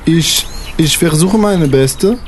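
A man voicing a meek, grateful French immigrant father who speaks haltingly in broken grammar with a French accent. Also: fairly high pitch, expressive, slight background noise. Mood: grateful, unsure. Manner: halting, broken non-native grammar, earnest. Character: meek, grateful French immigrant stepfather pleading with his son.

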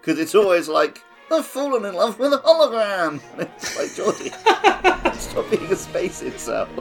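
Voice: medium pitch, sing-song, unhurried, creaky, soft background music. A podcast co-host chatting casually about games and TV, jokey and prone to digressions.

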